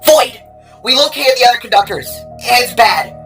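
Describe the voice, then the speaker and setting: A woman playing a panicked, commanding caller in an audio drama, loud and urgent, with music behind. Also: fairly high pitch, expressive, normal speed.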